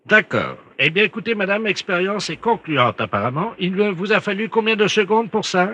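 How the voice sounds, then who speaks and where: A man's voice: high voice, big pitch swings, moderate pace, pushed and bright, lo-fi sound, heavily acted. A man voicing an officious, absurd scientist, methodically giving instructions and insistent questions on a prank call. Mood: probing. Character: officious, absurd scientist directing a phone experiment.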